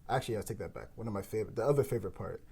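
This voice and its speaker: A woman's voice: rumbling low, lively intonation, very fast, vocal fry, mellow, breathy edge. A woman hosting a casual round table discussion as a podcast host and writer. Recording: faint background noise and room ambience.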